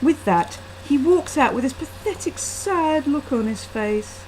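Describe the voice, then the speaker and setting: A woman's voice: sits high, expressive, unhurried, darkish tone, slight background noise, low-quality recording. A woman reading her own first-person short story aloud into a laptop webcam microphone.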